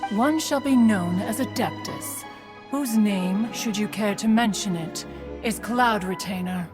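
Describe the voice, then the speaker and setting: A woman's voice: medium pitch, unhurried, music in the background, close to an everyday voice. A woman voicing a haughty immortal bird adeptus in a video game, speaking in pompous, formal, archaic phrasing with theatrical grandeur.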